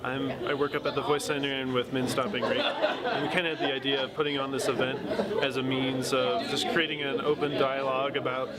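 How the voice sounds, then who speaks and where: A man who organized the event, introducing it in a relaxed, slightly halting way. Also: mid-range voice. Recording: heavy crowd noise.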